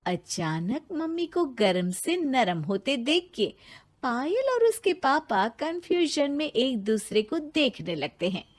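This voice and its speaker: A woman narrates a scripted story. Her voice is fairly high and animated in its intonation, at a slow storytelling pace.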